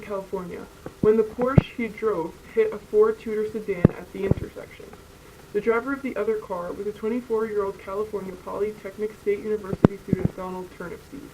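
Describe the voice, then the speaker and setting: Female newscast anchor, noisy recording. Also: medium pitch. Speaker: news anchor. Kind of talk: scripted newscast narration.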